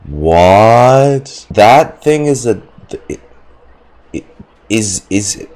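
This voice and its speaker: A man's voice: lowish voice, lively intonation, drawn-out words, a little mellow. A man streaming casual game reaction commentary, sarcastic and sweary in his delivery. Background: game music.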